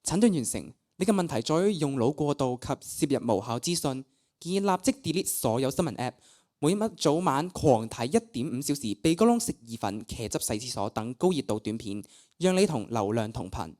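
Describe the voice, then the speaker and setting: Teen girl as ai assistant, radio drama, coldly sure of itself, very swoopy formal announcing, mellow tone. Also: a bass voice, lively intonation, brisk. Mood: clinical, confident. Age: teenager. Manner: formal, clinical announcing delivery. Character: cold, absurdly confident female AI assistant. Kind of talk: student radio play acting.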